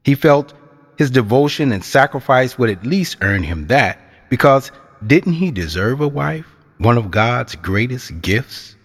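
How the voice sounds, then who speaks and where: A man giving measured, rhetorical narration for a scripted audio drama, as a reflective storyteller. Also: medium pitch, animated.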